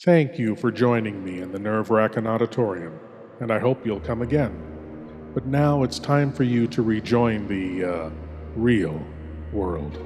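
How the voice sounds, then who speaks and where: A man narrating as a theatrical horror anthology host, deliberate, ominous and wry. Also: lowish voice, a little mellow, soft background music. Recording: long cavernous reverb.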